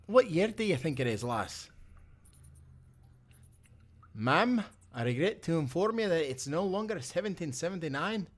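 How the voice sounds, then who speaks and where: A man's voice: medium pitch, natural voice. Man playing earnest timberman sailor. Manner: earnest, old-time seafaring speech. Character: kind, principled male timberman sailor of the 1790s. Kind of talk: cinematic audio roleplay.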